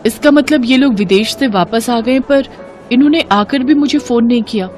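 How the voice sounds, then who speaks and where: A woman's voice: fairly high pitch, normal speed, a little mellow, faint music behind, close to an everyday voice. A woman playing a hurt, puzzled young daughter-in-law in a cartoon family drama, earnest and questioning.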